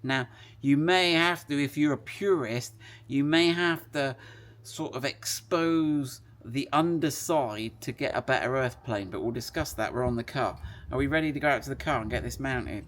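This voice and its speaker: A man's voice: medium pitch, normal speed, a bit bright. A man giving a casual hands-on product demonstration, chatting and thinking aloud as he works. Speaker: product presenter. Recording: clear background noise.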